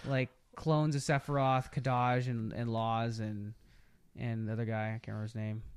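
A man's voice: mid-range voice, flat delivery, normal speed, smooth. A man hosting a podcast, chatting casually in a rambling, enthusiastic, geeky explaining way. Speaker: podcast host. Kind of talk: casual chat about video game movies.

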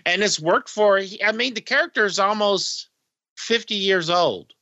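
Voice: medium pitch, moderate pace, pushed and bright, no echo, highs cut off. A podcast co-host chatting casually about a TV episode, rambling and enthusiastic.

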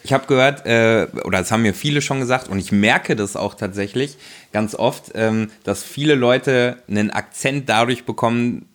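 A man's voice: medium pitch, moderate pace, fairly bright tone. A man co-hosting a podcast, talking casually and rambling with lots of fillers and restarts. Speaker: podcast co-host. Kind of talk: casual chat.

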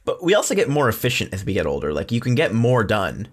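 Blunt male podcast co-host, lower voice, casual cursing. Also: huge pitch swings, fast. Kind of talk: casual chat.

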